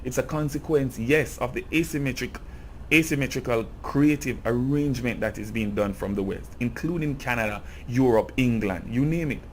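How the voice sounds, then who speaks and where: A man's voice: medium pitch, normal speed, slight background noise. A Jamaican man giving an earnest, impassioned cultural commentary in a video essay. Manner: earnest, grows impassioned and emphatic.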